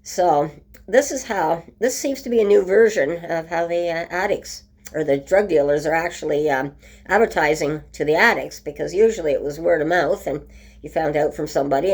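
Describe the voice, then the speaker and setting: Woman, very low voice, rough from a cold, casual home vlog, halting with ums. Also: darkish tone. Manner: chatty, many ums and restarts. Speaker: vlogger. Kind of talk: casual home video update. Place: at home by a window.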